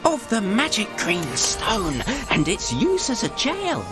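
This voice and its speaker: A woman's voice: mid-range voice, wildly swooping pitch, takes it slowly. A woman playing a playful storyteller, narrating a rhyming fairy tale theatrically for a video game. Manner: theatrical, enunciated. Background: music.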